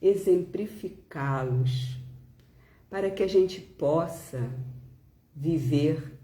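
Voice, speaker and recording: Brazilian female devotional speaker, mellow, extremely unhurried delivery with pauses, reading and reflecting. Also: deep voice, reverberant. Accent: Brazilian Portuguese. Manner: deliberate, halting phrases with pauses. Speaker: religious speaker leading a spiritist devotional talk. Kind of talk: devotional talk with reading aloud.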